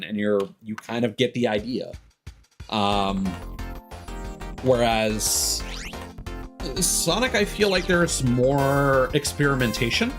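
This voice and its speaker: A man with a mid-pitched voice talks like a game streamer over clearly audible music. He rambles through his opinions casually, pausing and picking up again, then leaning hard on certain words. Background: music behind the voice.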